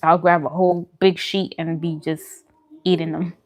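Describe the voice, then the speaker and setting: A woman's voice: on the low side, little pitch movement, moderate pace. A woman chatting informally with her live-stream viewers, answering comments as she goes. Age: adult, parent. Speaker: food vlogger and live streamer.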